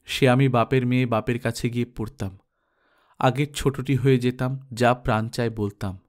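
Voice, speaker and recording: A man's voice: medium pitch, fairly even intonation, moderate pace, close to an everyday voice. A man voicing an emotional married daughter in a storybook, devoted and outspoken, with a pleading, effusive manner. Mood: plaintive.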